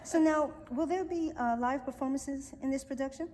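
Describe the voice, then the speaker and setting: A woman's voice: high-pitched, normal speed, mellow. A woman interviewing in a casual, folksy, affirming way, laughing along with her guests. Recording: echoey hall, faint background noise.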